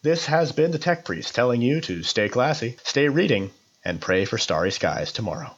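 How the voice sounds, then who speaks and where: A man reads a scripted review for a podcast in a measured, formally worded way. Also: medium pitch, big pitch swings, muffled recording. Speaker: podcast contributor giving a review. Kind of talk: scripted narration, visual novel review.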